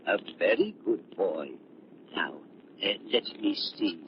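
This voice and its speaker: An actor playing an embittered, paranoid older scientist who feigns kindness, in theatrical 1930s radio melodrama style. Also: male voice, low-pitched, expressive, takes it slowly. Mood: sly, eager. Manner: theatrical, volatile, swinging from soothing to ranting. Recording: muffled, lightly noisy 1930s radio recording.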